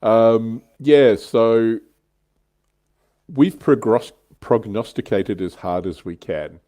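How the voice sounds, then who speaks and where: A man's voice: fairly low pitch, takes it slowly, warm tone. A middle-aged man co-hosting a casual livestream chat, bantering in a tongue-in-cheek way. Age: middle-aged or older. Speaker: livestream co-host. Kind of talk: casual panel chat about tabletop wargaming.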